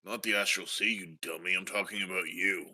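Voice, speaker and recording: A man's voice: low voice, steady tone, brisk, pushed and bright, husky. A man playing a tabletop role-playing game, bantering casually and describing what his character does.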